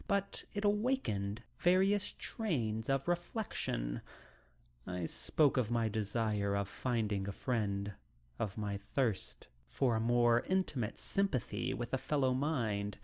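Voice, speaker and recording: A male narrator reads a classic novel in a medium-pitched voice. His pace is very slow and deliberate. The delivery is solemn, literary and dramatic. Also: a little mellow. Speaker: audiobook narrator.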